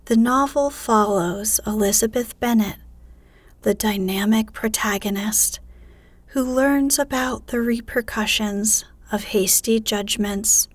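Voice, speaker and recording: Woman whispering a bedtime story asmr style, super slow and breathy, dry close mic. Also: mid-range voice, dry recording. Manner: soft, hushed whisper. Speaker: audiobook narrator.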